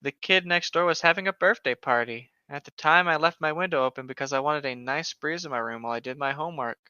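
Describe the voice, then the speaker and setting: A bright, forceful voice of middling pitch reads a story aloud and pokes fun at it with sarcastic asides. The delivery is casual and joking, in a dry, slightly dull recording. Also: fast, clear, resonant tone, no room sound. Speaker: co-host of a comedic story reading. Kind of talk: mocking read-aloud with casual banter.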